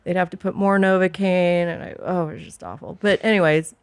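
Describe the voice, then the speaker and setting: A woman's voice: lowish voice, steady tone, fairly quick, clear, resonant tone. A middle-aged woman co-hosting a podcast, talking frankly and informally in a candid couple's chat. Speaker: podcast co-host.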